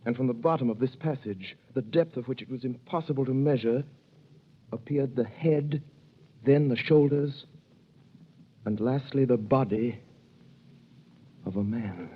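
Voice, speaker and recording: An earnest young French prisoner. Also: male voice, mid-range voice, a little slow, long pauses, husky. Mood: grave. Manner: dramatic, earnest storytelling. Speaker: narrator. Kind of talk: 1930s radio drama narration. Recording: dull old radio recording with music.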